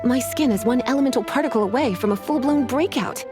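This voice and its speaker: A woman's voice: sits high, fast, over music, close to an everyday voice. A woman voicing a languid, flirtatious witch librarian in a video game, coy and teasing.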